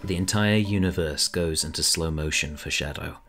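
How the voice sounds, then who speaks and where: A man narrating a fan audio drama in a steady, dramatic storytelling manner. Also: extremely low pitch, normal speed, soft background music.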